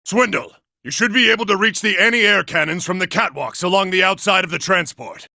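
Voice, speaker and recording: Robot military commander, video game villainy, very harsh and piercing, raspy, impatient, authoritative, dry close sound. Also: male voice, high-pitched, a little fast, dry recording, heavily acted. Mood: commanding, tense. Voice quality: gravelly, raspy. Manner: forceful, barking orders. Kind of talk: video game character acting.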